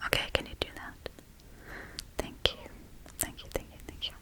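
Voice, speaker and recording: A woman voicing a playful, forgetful, menacing witch in a hushed, coaxing, whispery ASMR role-play. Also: normal speed, lightly acted. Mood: coaxing, gentle. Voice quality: breathy, whispery.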